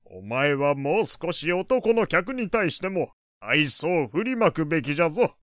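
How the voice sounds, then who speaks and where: An actor playing an opinionated, kindly old gentleman in an audio drama, lecturing in old-fashioned elderly phrasing. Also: on the high side, sing-song, a little slow, no room sound, clean studio sound. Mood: chiding. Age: older. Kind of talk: Japanese audio drama acting.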